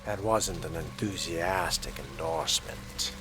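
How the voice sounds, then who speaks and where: A man speaking in character as he plays a role-playing video game, with a deliberate, measured delivery. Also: sits low, background noise. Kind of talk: in-character role-play dialogue.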